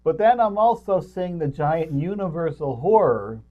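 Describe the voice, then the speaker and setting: A middle-aged man co-hosting a movie review show, chatting casually about films in halting, rambling explanations. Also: a little high, sing-song, phone-quality sound.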